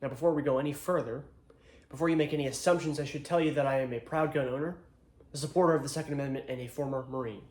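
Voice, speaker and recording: A man playing an earnest, solemn ex-Marine attorney, delivering a deliberate, rhetorical courtroom monologue with dramatic pauses. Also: mid-range voice, fairly even intonation, a little mellow, close to an everyday voice. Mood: measured, grave. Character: earnest male attorney, proud ex-Marine, solemnly addressing jury. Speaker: actor. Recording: echoey room.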